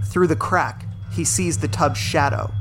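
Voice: mid-range voice, a little slow, gravelly, over music. A narrator reading scripted scene descriptions for an audio drama in present tense.

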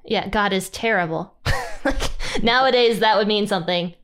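A woman hosting a podcast, chatting in a relaxed, casual way and laughing easily. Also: moderate pace. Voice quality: low singing voice. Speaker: podcast host. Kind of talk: casual conversational interview.

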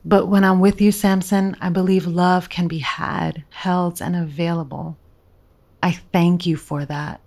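Woman acting, audio drama, seductive schemer, feigned sweetness, lowish female voice, intimate coaxing delivery. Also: level tone, a little slow, darkish tone. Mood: romantic.

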